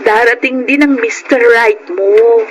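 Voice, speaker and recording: A woman's voice: on the high side, fairly even intonation, slow pace. A woman playing an earnest young daughter in a Filipino radio drama, speaking with a Filipino accent. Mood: calm, modest. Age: young adult. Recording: clearly noisy radio drama recording.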